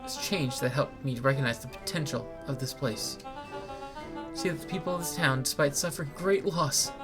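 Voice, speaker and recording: A woman's voice: rumbling low, normal speed. A woman voicing a grandiose, folksy male town benefactor in a video game, giving emphatic oratory. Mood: grandiose, earnest. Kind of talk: dramatic reading of video game dialogue. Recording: music playing behind the voice.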